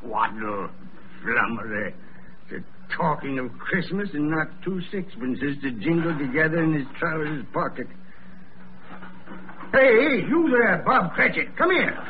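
A man's voice: fairly high pitch, expressive, unhurried. An actor playing a miserly, bad-tempered old businessman in an old-time radio drama, scolding and muttering. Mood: scornful, barking. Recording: muffled, noisy vintage radio recording.

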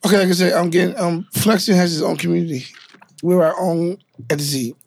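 Gravelly, higher-voiced man, casual guest. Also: fairly even intonation, a little fast, darkish tone, no echo, clean studio sound. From New York, family from Guyana. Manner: easygoing, loose storytelling with many fillers. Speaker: dancer, guest. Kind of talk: casual interview chat.

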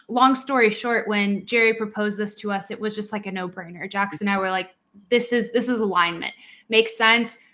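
A woman's voice: mid-range voice, pushed and bright. Podcast co-host, woman, middle-aged, Spanish native speaker, friendly chat, lots of ums. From Colombia.

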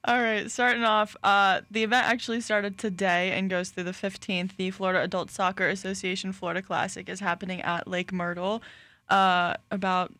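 A woman's voice: medium pitch, flat delivery, moderate pace, pushed and bright. A woman co-hosting a radio sports show, reading event listings, giggling often and joking about herself. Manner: giggly, self-deprecating. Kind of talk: casual radio chat.

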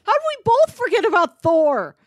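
A woman's voice: extremely high pitch, big pitch swings, moderate pace, no echo, studio quality. A woman co-hosting a casual film discussion, explaining things in a knowledgeable, conversational way. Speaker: film podcast co-host.

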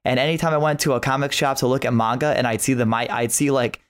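A man talks as a podcast guest in an informal way. His pitch is average, and he speaks as if chatting with friends. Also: steady tone, fast. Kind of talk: casual chat.

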